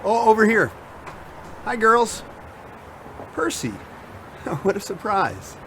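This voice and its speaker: Flustered dad, lively pitch. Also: male voice, takes it slowly, a little hiss or hum, full character voice. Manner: hesitant, awkward. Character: flustered, awkward middle-aged bachelor dad. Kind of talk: audio drama acting.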